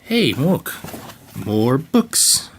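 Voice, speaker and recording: A man with a raspy voice casually talking through an unboxing, joking to himself, with plenty of fillers and restarts. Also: medium pitch, very animated, very slow, slight background noise. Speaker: channel co-host doing an unboxing. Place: home gaming room.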